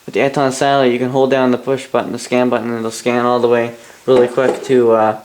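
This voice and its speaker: A man's voice: mid-range voice, level tone, quick pace, darkish tone. A Canadian man giving a casual, rambling hobbyist product review, with grumbling asides and mild swearing.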